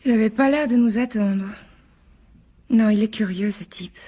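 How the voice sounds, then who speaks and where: An actress in a radio drama playing an uneasy young woman, soft and breathy, who questions things hesitantly. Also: a little high, normal speed, a little hiss or hum, lightly acted. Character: uneasy young woman, timid, suspicious, apologetic.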